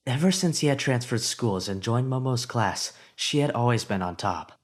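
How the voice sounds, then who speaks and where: A man reads a fan audiobook aloud at an ordinary mid pitch. His delivery is expressive, rising with the hero's inner thoughts. The reading is steady story narration. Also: normal speed.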